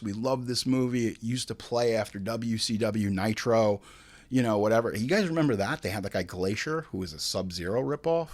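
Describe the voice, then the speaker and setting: Male review host, mid-pitched and highly animated. Casual, comedic, foul-mouthed and exasperated, with emphatic slangy asides. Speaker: host of a movie review show.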